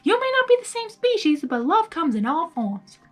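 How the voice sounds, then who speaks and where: A woman's voice: extremely high pitch, big pitch swings, moderate pace, pitched above the performer's own voice. A woman voicing a blunt, loyal female cowgirl pony in a story reading, commanding and emphatic. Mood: earnest, firm. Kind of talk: audiobook-style character reading.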